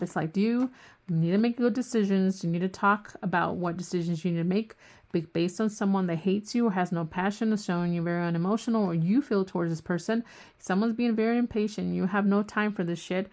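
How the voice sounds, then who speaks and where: A woman giving a casual tarot reading who is losing her voice, rambling and often restarting her sentences. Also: medium pitch. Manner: swears casually. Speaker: tarot reader.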